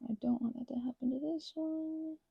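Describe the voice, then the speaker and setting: A woman making an ASMR craft video, rambling softly with whispered asides, little exclamations and meandering self-interrupting tangents. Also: high voice, steady tone, normal speed, vocal fry, no room sound, phone-quality sound. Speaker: ASMR creator.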